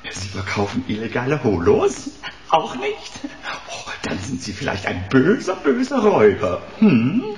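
A man's voice: medium pitch, roomy sound, slight background noise. An actor playing a flamboyant, coquettish man of sixty in a radio drama, gushing in a camp, arch, teasing manner.

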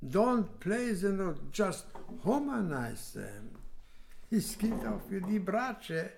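An actor playing a gentle, exacting male chamber music coach, halting and encouraging, in a radio drama. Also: high voice, sing-song, vocal fry, background noise, full character voice. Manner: halting, encouraging, switches into English.

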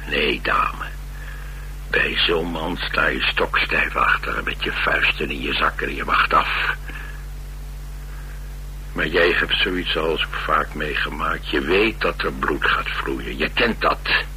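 Very deep, sharp-voiced Dutch chauffeur. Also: male voice, takes it slowly, full character voice, low-quality recording. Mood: grim, intense. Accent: Netherlands Dutch. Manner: sardonic, colloquial, confiding. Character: blunt, world-weary male chauffeur and streetwise fighter. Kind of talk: radio drama acting, colloquial storytelling. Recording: radio drama with faint hum and noise.

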